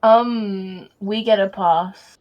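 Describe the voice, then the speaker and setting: A young woman gives casual gameplay commentary at a slow, unhurried pace. Her manner is goofy and teasing, with an average-pitched voice over a voice call. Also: steady tone, muffled recording. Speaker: friend giving joke commentary on gameplay. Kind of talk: casual chat. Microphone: online voice call.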